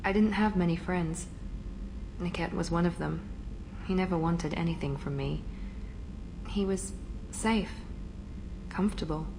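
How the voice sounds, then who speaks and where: A cool, self-controlled operative in a sci-fi game. Her voice sits low and her delivery is measured and reserved. Steady noise runs beneath it. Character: composed adult female operative, cool and controlled. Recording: game audio with ambient noise.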